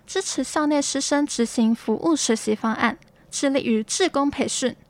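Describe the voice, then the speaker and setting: A woman with a slightly high voice and a Taiwanese Mandarin accent reads scripted narration. The recording is close and dry. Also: normal speed, no room sound.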